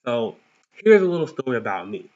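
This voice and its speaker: A man's voice: medium pitch, sing-song, fairly quick, darkish tone. A young man with a lisp giving a playful, earnest motivational talk to viewers as a vlog host, with cocky bursts of emphasis. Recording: dull lo-fi sound.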